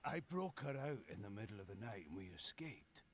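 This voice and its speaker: Easygoing merchant husband, expressive mid voice, folksy, fantasy game, light background noise. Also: male voice, lowish voice, brisk. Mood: wistful, easygoing. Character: plain-spoken, devoted travelling merchant husband. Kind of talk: fantasy video game voice acting. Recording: faint background ambience.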